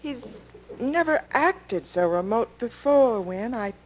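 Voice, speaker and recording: An actress playing a fussy, possessive married housewife and hostess in a 1950s style radio soap, on a humming old recording. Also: female voice, a little high, animated, slow, a crowd in the background, poor audio. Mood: worried, snappish.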